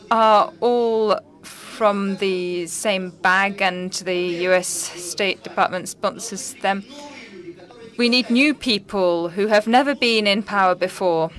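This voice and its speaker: A woman's voice: mid-range voice, a bit bright. A woman making an emphatic formal statement as a participant at a conference session. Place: large conference hall.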